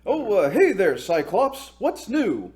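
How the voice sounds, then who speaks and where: A man's voice: high-pitched, wildly swooping pitch, darkish tone, pitched above the performer's own voice, exaggerated voice. A man voicing a wily, chatty hero who feigns meek fright and innocence in a comic story skit. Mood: mock timid. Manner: halting, mock-innocent, exaggerated politeness.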